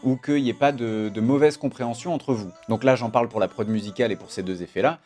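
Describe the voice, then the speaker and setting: A man's voice: medium pitch, quick pace, darkish tone. A man, explaining things informally as the host of a music production tutorial. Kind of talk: casual tutorial talk to camera. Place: small home studio room.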